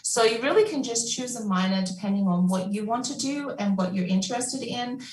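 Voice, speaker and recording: A woman's voice: fairly high pitch, brisk. A woman advisor answering a student question on a panel in an upbeat, friendly, explanatory way. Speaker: academic advisor. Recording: echoey room, distant mic.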